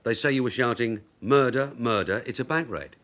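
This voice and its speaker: A man acting a shrewd veteran detective sergeant in a radio drama, with a brisk, clipped, questioning manner. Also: mid-range voice, fairly bright tone, natural voice. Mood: patient, probing.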